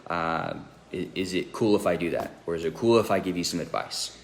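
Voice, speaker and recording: A man's voice: low voice, animated, brisk. A man giving an informal sales training talk in a conversational, instructive way, often checking in with the listener. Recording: echoey room with faint noise.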